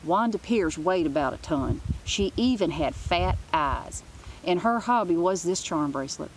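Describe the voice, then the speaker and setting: A woman with a slightly low voice narrates a children's book aloud. Her storytelling is informal, and there is faint noise in the background. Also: lively intonation, a little slow, low-quality recording. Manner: informal, with asides.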